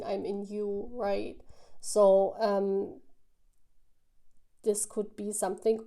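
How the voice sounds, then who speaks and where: Woman with a mellow voice, musing aloud. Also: medium pitch, gravelly. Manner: musing, halting, thinking aloud. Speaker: tarot reader, host. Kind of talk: casual intuitive tarot reading, spoken to viewers.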